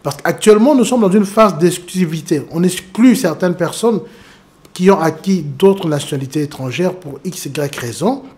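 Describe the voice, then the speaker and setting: A man, a guest lawyer from Congo, giving measured, step-by-step answers in an interview, with some restarts. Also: sits high, darkish tone. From Democratic Republic of the Congo.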